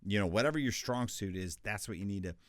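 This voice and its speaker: A man with a deep bass voice, chatting through freelance voiceover tips in a casual, conversational way. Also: fast, dry recording, studio quality. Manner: chatty, conversational, with restarts and asides. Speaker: voice actor teaching freelancers. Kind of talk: casual tutorial talk.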